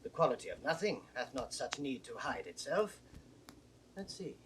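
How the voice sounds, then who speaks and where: A man's voice: mid-range voice, sing-song, moderate pace, natural voice, rough recording. An actor playing an aged, credulous noble father in classical Shakespearean style, agitated and theatrical, swinging between murmurs and outbursts. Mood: suspicious, brisk. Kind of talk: classical Shakespearean stage acting. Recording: 1960s drama recording with background noise.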